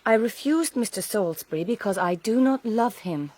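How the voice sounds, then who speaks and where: Frank, dignified young gentlewoman. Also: a little high, expressive, moderate pace, dry recording. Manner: formal, composed. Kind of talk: period radio drama acting.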